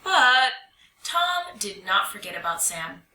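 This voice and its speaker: Bright, animated woman reading a storybook. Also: mid-range voice, takes it slowly. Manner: expressive, animated storytelling delivery. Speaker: storybook reader. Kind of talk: children's picture book read-aloud. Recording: roomy echo, distant mic, faint noise.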